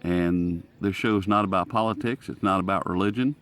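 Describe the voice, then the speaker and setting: A man's voice: low-pitched, normal speed, a bit bright. An American man hosting a casual hobby radio demonstration outdoors, talking in a folksy, rambling way. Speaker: host. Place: outdoors in sunlight.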